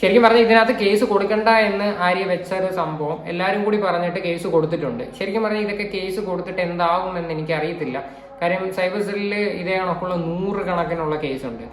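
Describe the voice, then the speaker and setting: A woman's voice: fairly low pitch, brisk, a little hiss or hum. A woman with a Kerala accent giving casual reaction commentary as a video commentator. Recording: roomy, echoing indoor sound.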